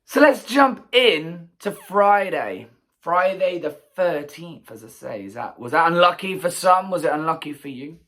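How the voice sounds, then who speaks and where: Croaky-voiced chatty English man. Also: fairly high pitch, expressive, measured pace, fairly bright tone. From England. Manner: chatty, enthusiastic, rambling asides. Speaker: festival vlogger. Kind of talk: casual solo recap to camera.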